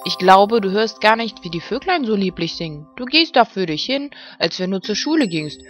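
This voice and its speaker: A woman's voice: mid-range voice, expressive, normal speed, lightly acted. Woman as a storybook wolf, male, sly, hungry, emphatic, wheedling menace, faint music behind. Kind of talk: fairy-tale storybook reading.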